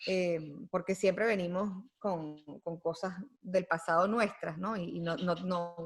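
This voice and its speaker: A woman's voice: on the low side, fairly even intonation, unhurried. A woman guest on a podcast, speaking thoughtfully with many fillers and tag questions. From United States. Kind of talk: reflective conversational interview.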